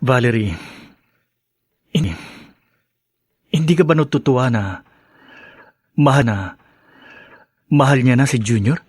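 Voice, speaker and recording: An actor playing an earnest, conciliatory young husband in a radio drama, with a Filipino accent. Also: male voice, medium pitch, measured pace, pauses often, a little mellow, slightly rough, no echo, studio quality. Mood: gentle, worried.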